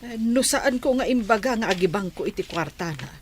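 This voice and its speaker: A woman's voice: mid-range voice, lively intonation, fairly quick, slight background noise, natural voice. A woman acting a sceptical, grumbling village wife in a radio drama, with an Ilocano Filipino accent. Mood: wary, complaining.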